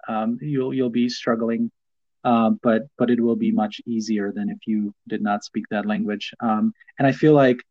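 A man, co-hosting a casual podcast, speaking hesitantly with many pauses and restarts, self-deprecating. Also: mid-range voice, a little mellow, lo-fi sound. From Poland. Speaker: podcast co-host.

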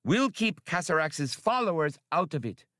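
A man's voice: fairly high pitch, big pitch swings, moderate pace, sharp tone, no room sound, studio quality, voice pushed up. A man voicing a battle-scarred female alien sea creature in an audiobook, bold and loyal. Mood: challenging, resolute.

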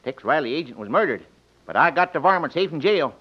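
An actor playing a folksy, callous small-town sheriff in an old-time radio western, with a rural Western American accent and repeated phrases. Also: male voice, on the high side, lively intonation, fast. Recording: noisy, muffled vintage radio recording.

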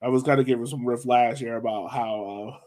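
An American man with a mid-pitched voice co-hosts a sports podcast. He speaks in a casual, conversational way, as if talking things over with fellow fans. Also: fairly even intonation, a little fast, darkish tone. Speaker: soccer podcast co-host.

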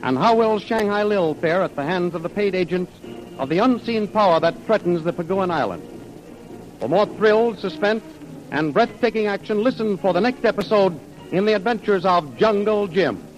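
A man announces a 1940s-style radio adventure serial. His voice is pitched high for a man. The delivery is declamatory and dramatic, on an old radio recording. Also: lively intonation, moderate pace, faint music behind, rough recording. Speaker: announcer and narrator.

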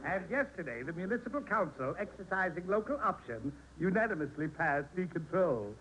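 An actor playing a smug, vindictive American landlord in an old-time radio sitcom, officious and precise. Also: male voice, high-pitched, sing-song, a little fast, fairly bright tone. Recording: noisy vintage radio recording.

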